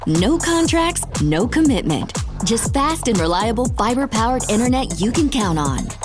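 A woman's voice: on the high side, big pitch swings, moderate pace. A woman reading a scripted commercial in an upbeat, polished way. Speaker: ad announcer. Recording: clear music bed behind.

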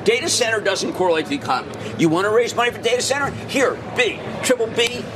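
Excitable male market pundit, high lively voice, live from a noisy exchange floor. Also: crowd noise. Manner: excitable, emphatic, interrupts with quick asides. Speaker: market commentator. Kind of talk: live financial TV banter.

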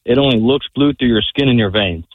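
A man's voice: mid-range voice, fairly quick, no echo. A man calling into a radio show, matter-of-fact. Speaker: caller. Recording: phone line.

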